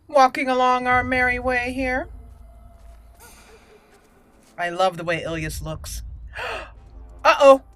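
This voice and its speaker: Animated, slow-paced woman gaming host. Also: sits high. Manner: chatty, playful, expressive. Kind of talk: casual roleplay playthrough commentary.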